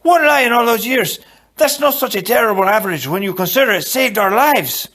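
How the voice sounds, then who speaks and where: A man voicing an urgent, high-pitched fiery swordsman. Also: animated, fairly quick, pushed and bright, exaggerated voice. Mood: defensive, pleading. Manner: emphatic, hollering commands. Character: urgent, commanding male swordsman, fiery and loyal.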